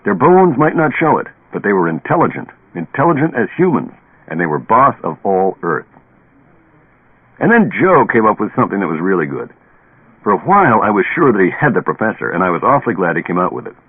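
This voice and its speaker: An actor playing a streetwise, sardonic barroom storyteller in colloquial, dramatic radio-drama narration. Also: male voice, lowish voice, very animated, a little fast, husky, narrow, tinny audio. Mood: wry, exasperated. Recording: band-limited old radio recording, echoey.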